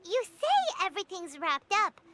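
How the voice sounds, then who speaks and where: A woman voicing a tiny, earnest, fretful fairy companion in a video game, childlike, squeaky and excitable. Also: normal speed, very noisy, exaggerated voice. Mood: earnest, worried.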